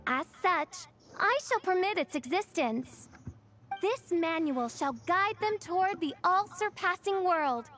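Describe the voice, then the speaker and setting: A woman's voice: very high-pitched, fairly bright tone, full character voice. A woman playing a grandiose teenage girl who styles herself a princess, declaiming in archaic, theatrical English for an anime game. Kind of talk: English-dubbed anime game acting, archaic florid speech.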